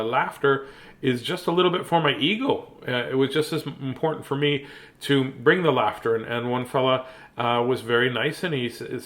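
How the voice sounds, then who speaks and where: A man's voice: medium pitch, fairly bright tone. A man chatting casually as host of a bedtime story reading, playful and self-deprecating, with joking asides.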